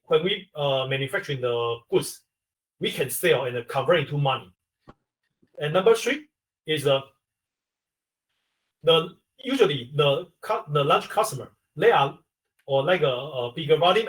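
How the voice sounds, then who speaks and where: A man with a Taiwanese accent, an industry expert on a webinar, explaining step by step in halting English. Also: on the high side, lively intonation, far from the mic, rough recording. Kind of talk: webinar answer to a question.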